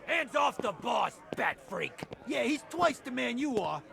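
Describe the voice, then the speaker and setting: A sneering, short-tempered male crook in a video game. His voice is high, sharp and heavily gravelled, and he spits out threats with an aggressive swagger. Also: sing-song, a little slow, slight background noise, exaggerated voice. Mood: hostile. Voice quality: raspy, strained. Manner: aggressive, mouthy. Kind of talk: video game villain acting.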